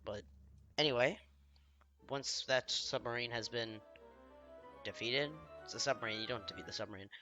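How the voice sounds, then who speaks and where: A man with a slightly hoarse voice from feeling unwell, giving rambling, self-deprecating commentary while he plays a video game. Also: mid-range voice, moderate pace, pauses often, sharp tone, faint music behind. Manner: rambling, self-deprecating, trailing off mid-sentence. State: slightly hoarse, under the weather. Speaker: let's play gamer. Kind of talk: casual gameplay commentary.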